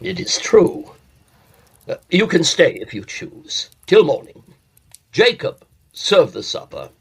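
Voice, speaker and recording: A man's voice: sits high, big pitch swings, takes it slowly, creaky, slightly rough, full character voice. A man playing a stern, reclusive scholar in a period audio drama, formal and imperious with a stiff courtesy. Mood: grudging. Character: stern, reclusive scholarly master of a lonely house.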